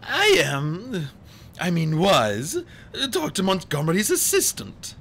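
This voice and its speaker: A man's voice: wildly swooping pitch, slow pace, vocal fry, fairly bright tone, slight background noise, full character voice. A man voicing a scheming villain who pretends to be a distressed, fluttery assistant in an audiobook story. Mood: feigned sorrow. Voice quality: high, fluttery when pretending. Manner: theatrical, oily, falsely apologetic.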